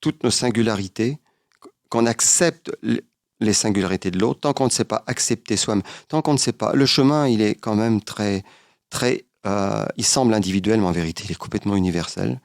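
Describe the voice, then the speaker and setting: A man answering as an interview guest, earnest and philosophical, often halting and repeating his words. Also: fairly low pitch, no echo, studio quality. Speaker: interview guest, travel writer. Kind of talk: reflective conversational interview.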